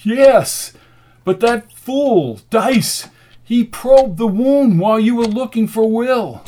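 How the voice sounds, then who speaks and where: A man's voice: high-pitched, a little slow, pitched above the performer's own voice. A man voicing an urgent, hot-tempered male medic in an audiobook, emphatic and exclaiming. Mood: agitated.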